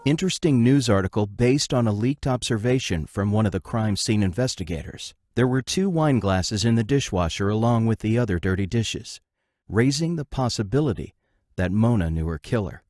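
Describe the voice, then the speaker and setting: A man's voice: fairly low pitch, animated, moderate pace, a little mellow, no echo, studio quality. A man reading scripted narration and scene announcements for an audio drama.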